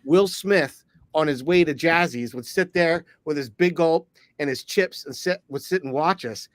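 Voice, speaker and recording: A man's voice: on the high side, no echo. Rambling middle-aged man from Philly. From Philadelphia. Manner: rambling storyteller, quick asides. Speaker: podcast co-host. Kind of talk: casual podcast chat.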